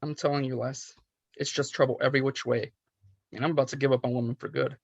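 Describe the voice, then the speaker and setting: A man's voice: mid-range voice, steady tone, fast, creaky, slightly rough, no room sound, highs cut off. Man playing a chatty bar regular, dry close sound. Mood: grumbling. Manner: chatty, casual. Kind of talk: film script table read.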